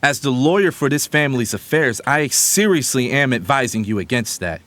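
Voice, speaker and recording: An actor playing a loyal, protective family lawyer, earnest and emphatic, in an audio drama. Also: male voice, mid-range voice, animated, normal speed, fairly bright tone, lightly acted. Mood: earnest, advising.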